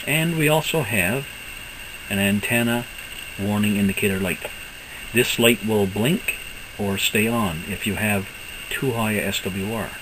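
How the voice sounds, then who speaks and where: Low-pitched man in a noisy recording. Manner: halting, thinking aloud. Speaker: hobbyist presenting radio equipment. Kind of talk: casual unscripted product walkthrough.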